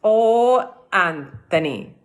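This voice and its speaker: A knowing older village witch from a storybook, voiced by a woman. She speaks slowly and calmly, with a measured, gently scolding manner and expressive pitch. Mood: knowing, forgiving.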